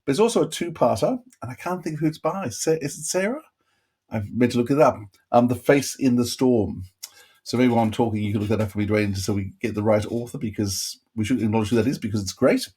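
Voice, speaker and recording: A man's voice: medium pitch, quick pace, mellow, breathy edge. A man co-hosting a podcast, giving casual reviews in a rambling way, often interrupting himself. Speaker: podcast co-host. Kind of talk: casual chat, reviewing audio dramas.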